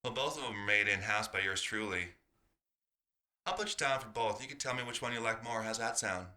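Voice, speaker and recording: A man's voice: fairly low pitch, fairly quick, sharp tone. A man voicing a big, friendly, no-nonsense diner waitress with a folksy, direct manner in an audio roleplay. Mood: chatty.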